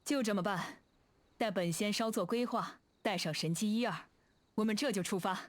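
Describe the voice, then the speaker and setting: A woman's voice: mid-range voice, normal speed, no room sound, close to an everyday voice. A woman voicing a proud, aloof immortal adept in a video game, with a composed, lofty manner. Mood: proud, playful. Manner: lofty, archaic self-reference, composed. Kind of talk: game character voice line. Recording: background music behind the voice. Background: music.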